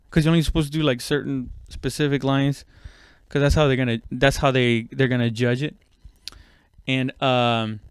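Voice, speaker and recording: A man's voice: mid-range voice, clear, resonant tone, no echo, clean studio sound. A man giving a casual, rambling comedic monologue, self-deprecating and swearing freely. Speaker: comedian and podcast host.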